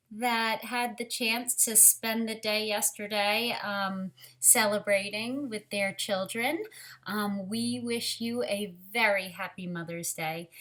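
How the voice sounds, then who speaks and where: A woman teacher leading a read-aloud storytime for young children, speaking deliberately and directly to them. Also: mid-range voice, fairly bright tone.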